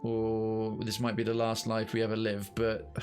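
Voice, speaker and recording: A man's voice: on the low side, monotone, unhurried, darkish tone. A man streaming a video game, reading narration aloud and musing over it as he goes. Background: faint game music.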